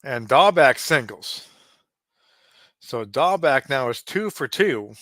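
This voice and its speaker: A man in his late forties doing casual play-by-play of a simulated baseball game, relaxed and chatty with frequent asides. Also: medium pitch, slow pace, dry recording, studio quality. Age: 40s. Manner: relaxed, chatty, given to asides and small restarts.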